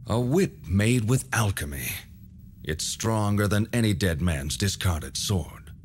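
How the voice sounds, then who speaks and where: An actor playing an old alchemist hermit in a video game, courteous and knowing, with measured, formal, cryptic delivery. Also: male voice, fairly low pitch, expressive, a little hiss or hum. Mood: instructive. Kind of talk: video game cutscene voice acting.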